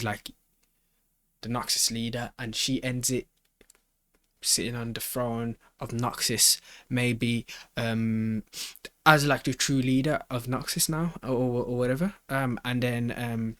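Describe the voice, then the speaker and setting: A man's voice: medium pitch, a bit bright, no echo. A man hosting a reaction video, thinking aloud in a casual, slangy way and often repeating his phrases as he rambles.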